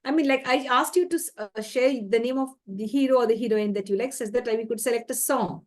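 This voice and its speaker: A woman's voice: fairly high pitch, a little fast, a little mellow. A woman hosting an online interview, polite and formal, addressing her guest as dear and ma'am. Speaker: interviewer, host.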